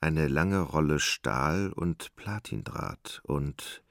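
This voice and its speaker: A male narrator with an extremely low voice and a gravelly creak. He delivers literary radio drama gravely and with measure, in a very dry recording. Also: animated, takes it slowly, fairly bright tone, dry recording.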